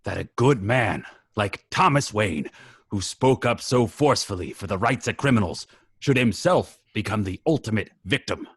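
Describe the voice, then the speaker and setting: A man plays a gruff, self-serving politician with a low, husky voice. He sounds like a man practised at earnest speeches, in a dry, close recording. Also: animated, normal speed, no echo. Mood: solemn speechifying. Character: Rupert Thorne, gruff, insincere male politician. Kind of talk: script table read.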